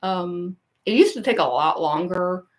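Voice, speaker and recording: Gruff-voiced woman livestreaming casually. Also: fairly low pitch, expressive, measured pace. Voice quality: gruff, raspy voice with a goofy laugh. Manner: chatty, rambling, lots of fillers and frequent laughs. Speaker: cosplay livestreamer. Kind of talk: casual livestream chat with viewers.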